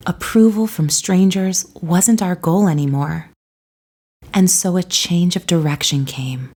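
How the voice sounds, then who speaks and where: A woman leads a guided meditation with a mellow, relaxed tone. Her voice sits on the low side, and she reads her script very slowly, with a gentle, soothing delivery.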